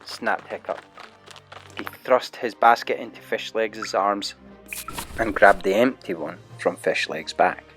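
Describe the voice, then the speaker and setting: A man's voice: lowish voice, slow pace, fairly bright tone, soft background music. A man narrating an adventure storybook dramatically as part of an audio play.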